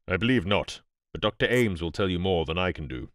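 A man playing a grave, low-voiced British archaeologist. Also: animated, brisk, no room sound, studio quality. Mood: courteous. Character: grave, steadfast grey-bearded British archaeologist, courteous.

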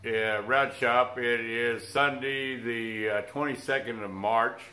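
An older man talks slowly through a how-to in a workshop. His voice is scratchy from flu but has a forceful edge, and he speaks in halting stretches. The room adds noticeable echo. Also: medium pitch, steady tone. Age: older, around 60s. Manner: halting, folksy. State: unwell with flu. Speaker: host of a workshop restoration vlog. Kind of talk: unscripted how-to talk to camera. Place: cluttered workshop, indoors.

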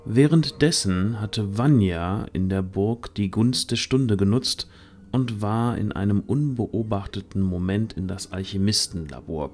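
A man's voice: fairly low pitch, a little mellow, slight background noise. A man narrating a fantasy audio drama from a script as storyteller.

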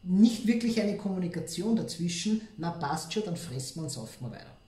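An Austrian man gives casual commentary as a co-host. His voice is a little high for a man yet dark and relaxed in tone. It is recorded in an echoey room with some faint noise. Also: a little fast.

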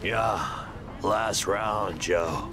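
Brooding middle-aged drifter, video game, very low gravelly voice, slow ominous half-whisper, grieving menace. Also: male voice, very noisy, full character voice. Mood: quiet menace.

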